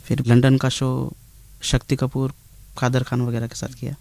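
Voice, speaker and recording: Even-toned man answering interview questions. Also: medium pitch, moderate pace, vocal fry, no room sound. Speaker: singer being interviewed.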